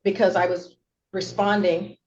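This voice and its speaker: A woman's voice: mid-range voice, flat delivery, measured pace, a little mellow. A woman giving unscripted testimony in court, explaining herself somewhat hesitantly. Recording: distant, noisy, muffled courtroom audio.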